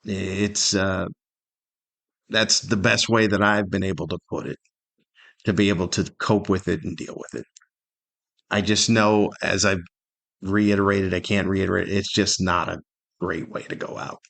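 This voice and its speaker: A middle-aged man giving a candid, reflective solo podcast monologue in a conversational, thoughtful way, pausing to search for words and repeating himself. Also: deep voice, flat delivery, creaky, fairly bright tone. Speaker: podcast host, stand-up comedian.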